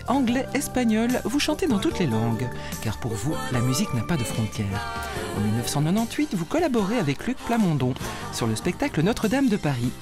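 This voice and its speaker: A woman reading a scripted portrait of a guest, expressive and a little dramatic. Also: mid-range voice. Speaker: portrait narrator. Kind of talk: scripted narration.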